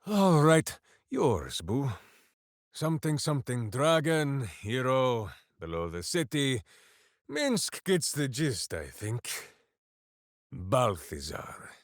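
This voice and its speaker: A man's voice: mid-range voice, sing-song, takes it slowly, dry recording, studio quality. A man playing a dim, big-hearted warrior hero in a video game, boisterous and theatrical, speaking of himself in the third person. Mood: earnest, simple-hearted. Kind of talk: video game character voice lines.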